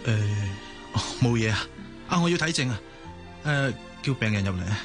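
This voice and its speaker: A man's voice: medium pitch, animated, normal speed, music in the background, close to an everyday voice. A man acting in a Hong Kong Cantonese radio drama as an earnest young hospital doctor who is evasive and hesitant. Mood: evasive, flustered. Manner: hesitant, stammering.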